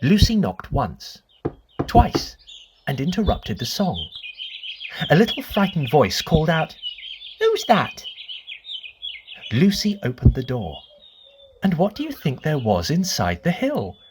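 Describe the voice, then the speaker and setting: A woman's voice: low voice, wildly swooping pitch, background noise. A woman storyteller narrating a children's bedtime tale expressively, drawing out repeated words for dramatic effect. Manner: deliberate, dramatic, drawn-out repetitions.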